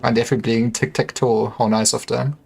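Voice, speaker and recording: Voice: medium pitch, level tone, normal speed, creaky, darkish tone, husky. A livestreamer giving casual, wry commentary on a game, with joking asides. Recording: faint music behind. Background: game music.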